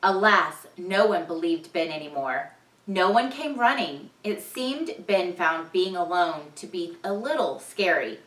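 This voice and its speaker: Slow, expressive woman teacher, bright voice. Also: fairly low pitch. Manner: expressive, deliberate, repeats key words. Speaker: teacher reading aloud. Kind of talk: vocabulary lesson and storybook read-aloud for children. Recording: echoey room, distant microphone.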